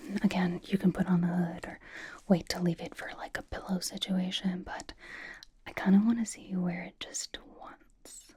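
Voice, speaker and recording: A woman voicing a blunt but cozy young female pop star in a breathy, casual, halting ASMR whisper, doting on the listener. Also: lowish voice, little pitch movement, mellow. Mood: coaxing, playful. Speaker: ASMR roleplay performer. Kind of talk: whispered ASMR personal-attention roleplay.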